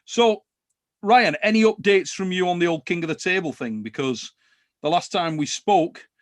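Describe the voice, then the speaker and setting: Casting: man hosting a live call-in, matey and easygoing, slightly high voice. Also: normal speed. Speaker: host. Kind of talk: casual live chat.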